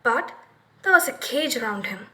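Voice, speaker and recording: High-pitched woman telling a children's story. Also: lively intonation, moderate pace, sharp tone. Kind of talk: expressive children's story narration.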